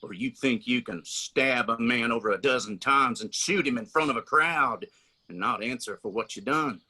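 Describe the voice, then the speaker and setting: A man playing a stern constable in a radio drama, giving orders with slow authority. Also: mid-range voice, bright, forceful tone, slightly rough. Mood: stern, angry. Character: stern, commanding mountain constable.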